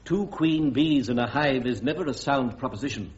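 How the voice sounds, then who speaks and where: An actor playing a crusty, authoritative senior British army officer presiding in a radio drama court martial, with a clipped, pompous manner. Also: male voice, mid-range voice, moderate pace, lo-fi sound, close to an everyday voice. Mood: dry, self-assured.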